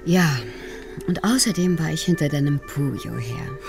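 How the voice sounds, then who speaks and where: An actress playing a cool, knowing, sardonic investigator in a naturalistic German radio crime drama. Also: female voice, low-pitched, lively intonation, unhurried, vocal fry, music in the background, heavily acted. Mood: teasing.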